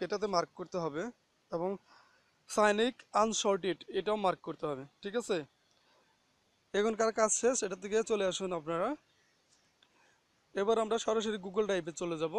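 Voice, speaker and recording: A man with a notably high-pitched voice presents a casual how-to. He gives instructions one step at a time and keeps checking that listeners follow. The sound is dry, with very little room echo. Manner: instructional, often checking with "okay?". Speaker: tutorial host. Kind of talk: casual step-by-step phone app screen tutorial.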